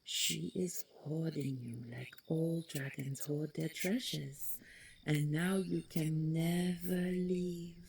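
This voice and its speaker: A woman playing the vain, taunting ghost of a deposed dragon queen in an audio roleplay, speaking theatrically and mockingly with a breathy voice that slips into whispers. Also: steady tone, slow pace, a little mellow, heavily acted. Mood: sly, insinuating. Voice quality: low, breathy, husky, creaky. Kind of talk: dramatic audio roleplay monologue.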